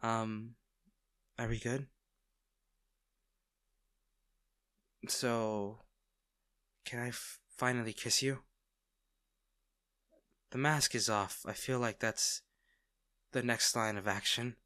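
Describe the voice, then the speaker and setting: A man's voice: mid-range voice, steady tone, slow pace, long pauses, no echo, clean studio sound. A man playing a tender, nervous villain in an intimate audio roleplay, stammering and hesitant as he speaks to the listener. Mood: shy, wanting. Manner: hesitant, stammering, soft-hearted. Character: smitten masked male villain, nervous, tender, teasing.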